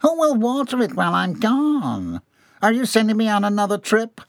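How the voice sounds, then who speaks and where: A man's voice: on the high side, sing-song, a little slow, no room sound, studio quality, voice pushed up. A man voicing a hapless, whining employee in an audiobook, confused and hesitant, feigning innocence. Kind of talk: dramatised audiobook dialogue.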